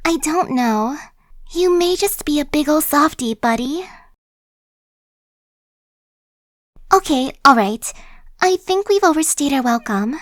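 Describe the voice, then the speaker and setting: A woman's voice: sits high, no echo, clean studio sound. A woman playing a chatty, sly young female zombie in an ASMR roleplay, talking in a slangy, cajoling, buddy-like way. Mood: sheepish, relieved. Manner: casual slangy wheedling, buddy-like.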